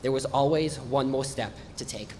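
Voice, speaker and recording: A teenage boy, a bit congested from allergies, delivers his prepared speech at a measured, earnest pace. His mid-pitched voice carries through a big reverberant hall over a faint background hum. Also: darkish tone. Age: teens. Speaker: graduating student speaker. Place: large hall or gym, on stage. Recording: echoey hall with faint noise.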